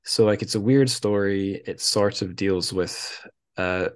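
A man's voice: fairly low pitch, unhurried. A man hosting a podcast, chatting casually with a guest about books. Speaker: podcast host. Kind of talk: casual literary interview chat.

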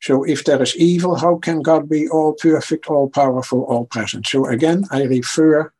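A man with a Dutch accent speaks as an interview guest in a slightly raised pitch. His voice is husky and breathy at the edges. He is earnest and often stumbles over the first words of an answer before going on. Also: steady tone, normal speed, a little mellow. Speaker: guest author.

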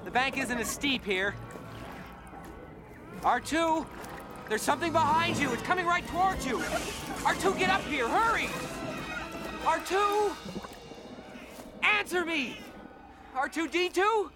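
A man's voice: very high-pitched, animated, takes it slowly, long pauses, music in the background, heavily acted. An actor playing an earnest, impatient young male space adventurer in a radio drama. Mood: urgent, alarmed.

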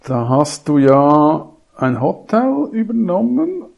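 A man's voice: fairly high pitch, sing-song, slow, vocal fry, dull audio. A man hosting a podcast interview, asking loose, friendly questions in a casual way. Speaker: podcast host.

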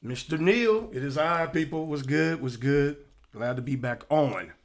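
A man in his forties hosting a casual podcast chat, telling stories in an animated, joking way. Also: on the high side, a little slow. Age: 40s. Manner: animated storyteller, loose and joking. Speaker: podcast host.

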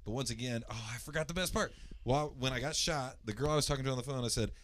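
A man from the Indianapolis area with a naturally loud voice, casually telling a long personal story, rambling with lots of fillers and restarts and clearing his throat. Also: mid-range voice, rapid-fire, a little hiss or hum. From Indianapolis area, USA.

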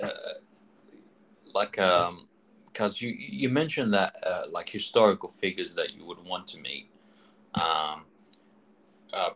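A man interviewing a guest in a casual chat, asking long, halting questions full of fillers and restarts. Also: sits low, a bit bright, rough recording.

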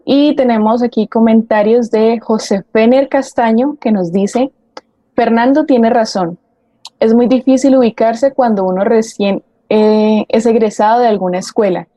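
Mellow, dry-sounding woman. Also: a little high, unhurried, dry recording. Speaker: forum co-host reading audience comments. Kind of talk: reading out listener comments.

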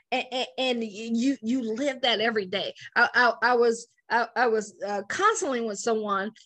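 High-pitched woman preaching fervently, slow. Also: fairly bright tone. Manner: fervent, calls for responses from listeners. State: hoarse, losing her voice and coughing. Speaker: faith and business speaker. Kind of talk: preaching to online viewers.

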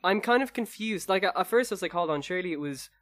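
A young Irish man co-hosting a podcast, telling anecdotes in an upbeat, excitable way during casual chat. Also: sits high, fast, no echo. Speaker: podcast co-host.

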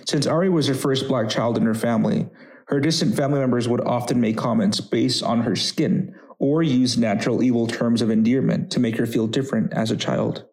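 Male podcast narrator, average pitch, formal scripted read linking parts of a personal story. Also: fairly even intonation, brisk, gravelly, darkish tone, poor audio.

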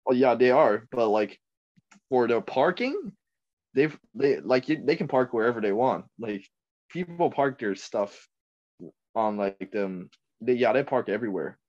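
A young man from Taiwan, a podcast guest chatting casually, laid-back, laughing often and trailing off quietly. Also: medium pitch, expressive, unhurried, dull audio. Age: young adult. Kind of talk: casual chat.

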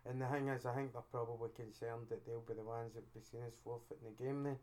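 Brief: Scottish male co-host, monotone delivery, plain matter-of-fact opinions. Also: mid-range voice, quick pace. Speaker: podcast co-host. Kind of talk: casual chat.

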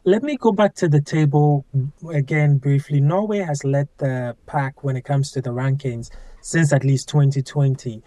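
A man hosting an interview who asks measured questions and gives short affirmations while listening. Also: a little high, warm tone, no echo. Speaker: interview host.

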